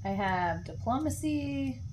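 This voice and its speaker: A woman's voice: high voice, lively intonation, slow pace, darkish tone, slight background noise. A woman chatting casually as a player in a tabletop roleplaying game.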